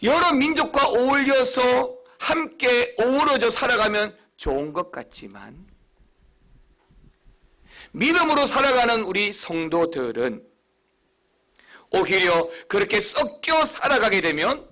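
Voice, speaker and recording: Busan-accented pastor preaching with high, lively pitch. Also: male voice, measured pace. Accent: Busan Gyeongsang. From Busan, South Korea. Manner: emphatic preaching with sudden high, loud stresses. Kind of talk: sermon.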